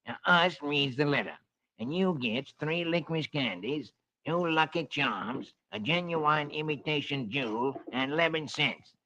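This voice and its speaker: A man's voice: on the high side, measured pace, sharp tone. A man voicing a dopey, scheming buzzard who drawls and hesitates, in an old radio comic-strip reading. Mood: wheedling. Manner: drawling, hesitant with uhs and ums. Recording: dull, noisy vintage radio recording.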